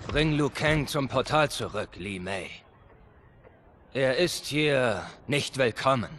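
An actor playing a hostile traitor soldier, cold, threatening and commanding, in dramatic game dialogue. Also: male voice, mid-range voice, animated, slow, background noise. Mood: cold threat. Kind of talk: dramatic dubbed video game acting. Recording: loud game music over voice.

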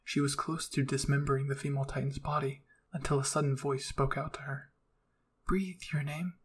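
A man narrating a scripted story in a measured, dramatic audiobook style. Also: medium pitch, monotone, breathy edge. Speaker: narrator reading fan fiction.

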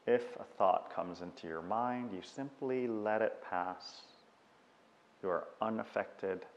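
Slow, very deep-voiced man guiding meditation. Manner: unhurried, measured instruction with long pauses. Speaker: meditation guide. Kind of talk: guided meditation. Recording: dull sound with long reverb.

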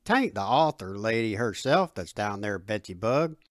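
A woman voicing a gruff, folksy, middle-aged country father with slangy, homespun phrasing in an audio story. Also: rumbling low, expressive, normal speed, no room sound, clean studio sound, voice pushed down. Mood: wary.

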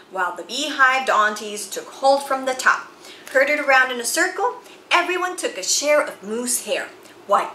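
A woman in her forties reads a picture book aloud, her voice high, bright and lively as it rises and falls through the story. She takes each line slowly and expressively, in an echoey room with a faint hiss of noise. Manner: expressive storytelling, slow and animated. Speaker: children's book reader. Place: room with bookshelves and chalkboard, indoors.

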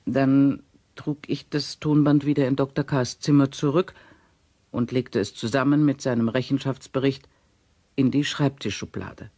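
Actor as a deliberate, confiding male hotelier, dry sound. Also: medium pitch, fairly even intonation, mellow, no echo, close to an everyday voice. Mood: confiding, sheepish. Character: male hotelier confessing a nosy deed, slightly embarrassed, correct. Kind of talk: radio play monologue, recounted testimony.

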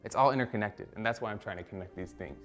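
A man's voice: fairly low pitch, quick pace, faint music behind. A man in his thirties from Costa Rica, an artist talking reflectively in a documentary interview, with many fillers and restarts.

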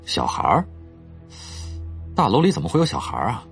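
An actor playing a curious middle-aged detective in an audio drama, casual and probing, with a husky voice. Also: male voice, fairly low pitch, wildly swooping pitch, normal speed, faint music behind, full character voice. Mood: inquisitive.

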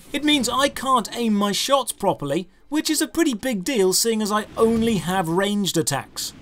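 A British man in his thirties narrating a scripted, critical video game review in an emphatic, sardonic manner. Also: high voice. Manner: emphatic, rhetorical questions, sardonic.

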